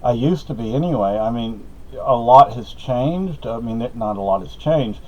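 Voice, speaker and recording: A man's voice: mid-range voice, animated, normal speed, dark tone. A middle-aged man from Spain, a native Spanish speaker, telling a meandering personal story with many fillers and self-corrections. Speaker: vlogger. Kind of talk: rambling personal monologue. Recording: slightly dull lo-fi audio.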